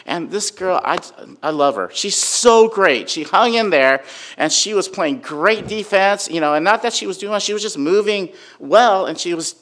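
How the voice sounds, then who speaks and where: A man delivers an informal sermon, his voice sitting a little high for a man. He talks loudly and conversationally, full of fillers, and keeps checking in with his listeners with "right?". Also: expressive, moderate pace. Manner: conversational, filler-heavy, asks "right?" often; says he has a really loud voice. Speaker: preacher. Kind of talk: informal sermon with personal story.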